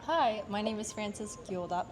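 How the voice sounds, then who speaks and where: Young American woman, mid-range voice, soft and hesitant, casual street-interview answers. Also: medium pitch, lively intonation, a little slow, over music. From United States. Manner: soft-spoken, filler-heavy, hesitant phrasing. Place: outdoors on a street in Japan.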